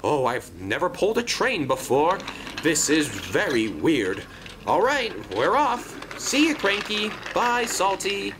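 A man voicing a chatty, naive, excitable female engine in a children's train story, gushing and easily flustered. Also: sits high, slow pace, background noise, voice pushed up, heavily acted. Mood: flustered, excited.

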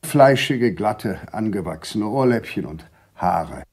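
A man's voice: lowish voice, animated, slow, darkish tone, husky, heavily acted. A man playing an insistent narrator in a literary radio play, speaking in clipped statements. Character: insistent male narrator listing a critic's traits.

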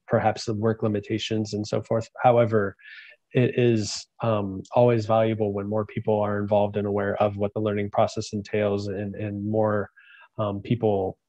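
A middle-aged man moderating a webinar in a measured way, over dull video-call audio. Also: on the low side, a little mellow, dry recording.

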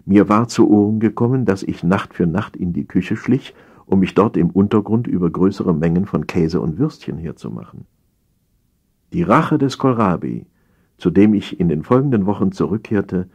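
Deep, husky male satire narrator. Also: lively intonation, moderate pace, darkish tone. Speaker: audiobook narrator.

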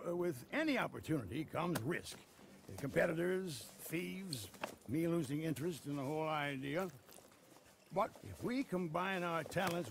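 A man playing a grizzled old American frontier trader in a video game, folksy and rambling like a salesman, with a gravelly voice. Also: fairly high pitch, fairly bright tone, slight background noise. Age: older. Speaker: game character actor. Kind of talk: video game cutscene acting.

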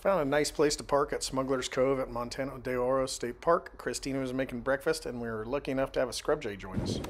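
A man's voice: mid-range voice, fast. An American man chatting casually and narrating a road trip vlog in a laid-back, joking, folksy way. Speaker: travel vlogger. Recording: noisy phone audio with vehicle and outdoor noise.

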